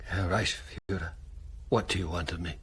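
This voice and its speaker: An actor playing a dutiful, uneasy German army colonel in a radio drama, formal and guarded, with a husky voice. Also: male voice, deep voice, fairly even intonation, moderate pace, a bit bright, heavily acted. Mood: uneasy deference. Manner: formal, guarded, deferential yet firm. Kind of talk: broadcast radio drama acting.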